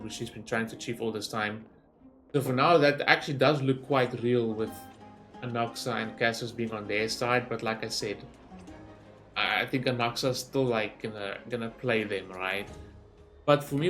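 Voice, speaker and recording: A man giving casual reaction commentary as a gaming streamer, rambling and thinking aloud. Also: mid-range voice. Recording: game music behind the voice.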